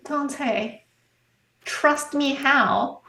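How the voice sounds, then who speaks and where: A stern female vampire in a tabletop game, voiced by a woman who presses for answers in slow, measured sentences. The voice is fairly high and heard in an echoey room. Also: pushed and bright, heavily acted. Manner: measured, pointed questioning.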